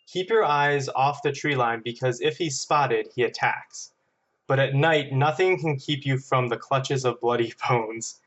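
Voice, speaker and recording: A man's voice: medium pitch, measured pace, a bit bright, lo-fi sound. A man reading listener stories aloud in casual banter, with a playful, amused storytelling manner. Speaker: podcast guest and editor.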